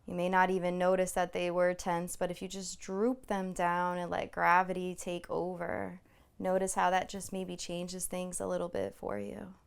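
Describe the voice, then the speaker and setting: A woman meditation instructor leading a guided body scan in a soothing, gently reassuring way, unhurried and leaving long pauses. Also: fairly low pitch, completely flat delivery, vocal fry, smooth.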